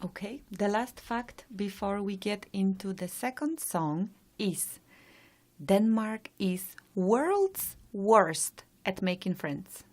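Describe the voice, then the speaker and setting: A woman's voice: mid-range voice, animated, slow. Woman cohost reading out facts, asks true or false, easygoing. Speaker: co-host. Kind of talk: presenting facts on a live show.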